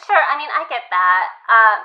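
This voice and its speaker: Woman playing a high-voiced, gushing teenage girl. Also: expressive, moderate pace, lightly acted. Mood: earnest, nerdy. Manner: chatty, earnest, casual teen talk with filler words. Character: gushing sixteen-year-old high school girl, earnest and boy-crazy. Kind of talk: improvised comedy call-in interview. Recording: dull, lo-fi sound.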